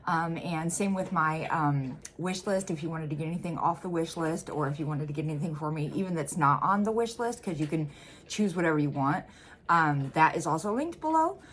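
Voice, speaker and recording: A woman's voice: low voice, lively intonation, fairly quick, a little hiss or hum. A woman from the United States hosting a reaction video from home, chatting casually with plenty of ums and uhs. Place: home room.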